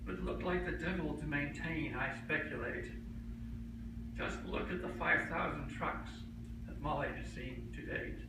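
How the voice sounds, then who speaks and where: An older man, an academic, reads his humorous rhyming poem to a conference in measured short lines with pauses. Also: fairly high pitch. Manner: measured, pausing between short verse lines. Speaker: academic researcher reading his own poem. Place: conference room, recorded from a distance. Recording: echoey hall from far off, noisy.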